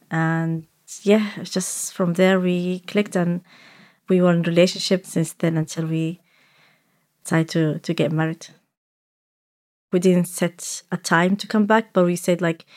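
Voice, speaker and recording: A young woman filmmaker whose first language is Arabic, telling her own story in a reflective way, often pausing on "like" and restarting. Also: on the low side, a little mellow. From Saudi Arabia. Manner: reflective, with frequent "like" fillers and restarts. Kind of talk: personal storytelling. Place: radio studio.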